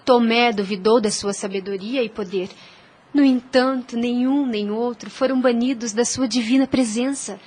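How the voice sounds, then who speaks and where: Radio-play ingénue played by a woman: Brazilian, gentle, devout, earnest preacher, high and breathy. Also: fairly even intonation, normal speed, close to an everyday voice. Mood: earnest, consoling. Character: gentle, devout young girl, wise religious teacher. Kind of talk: audio drama acting.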